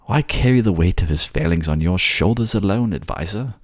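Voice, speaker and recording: A man's voice: low voice, expressive, a little fast, no room sound, studio quality. A man playing a suave, manipulative fantasy ruler who coaxes his captive in an intimate ASMR audio drama. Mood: insinuating. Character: suave manipulative male water-folk ruler, soothing captor.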